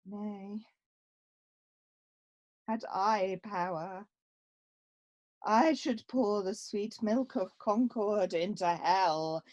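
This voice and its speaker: A woman playing a grave, self-accusing young exiled prince in a Shakespearean radio play, delivering verse deliberately with weighty pauses. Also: medium pitch, a little mellow. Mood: bitter, confessing.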